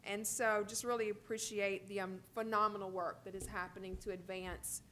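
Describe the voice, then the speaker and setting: Woman, mid-pitched voice, conversational official report, faint noise and rumble behind. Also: flat delivery, moderate pace, pushed and bright. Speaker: higher education commissioner giving a report. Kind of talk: prepared but conversational presentation. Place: public board meeting room.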